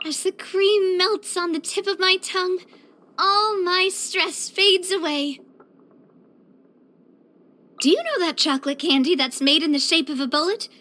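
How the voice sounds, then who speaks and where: A young woman voicing a cheerful, elegant young lady leader in a video game, earnest, chatty and expressive. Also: very high voice, unhurried, long pauses, full character voice. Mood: delighted. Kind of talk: video game character voice lines.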